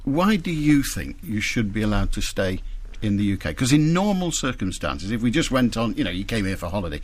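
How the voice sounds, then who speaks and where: Sex: male. Role: radio presenter. Style: live radio interview. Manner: animated, restarts questions. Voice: low and very lively. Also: fairly quick. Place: radio studio.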